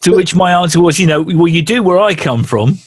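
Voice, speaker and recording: A man's voice: high-pitched, lively intonation, fairly quick. A man with a South London accent, a musician chatting casually in an interview and telling stories with many restarts. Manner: anecdotal, self-deprecating, frequent restarts. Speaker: guest musician.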